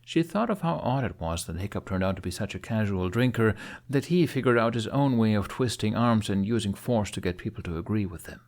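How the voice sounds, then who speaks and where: Guy narrating a fantasy audiobook, lowish voice, expressive storytelling read. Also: brisk, hardly any pauses.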